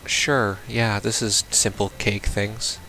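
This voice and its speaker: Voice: mid-range voice, little pitch movement, slow pace, bright, forceful tone, slight background noise, low-quality recording. A game streamer giving casual, reactive commentary in a sweary, irreverent way, sometimes reading game text aloud.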